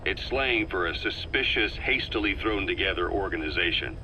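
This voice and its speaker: An actor voicing a worldly, self-assured military interrogator in a video game, wry and loyal, telling things in a measured, explanatory way. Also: male voice, low-pitched, animated, pushed and bright, a little hiss or hum, full character voice, rough recording. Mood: calm, explanatory. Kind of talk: scripted video game voice acting.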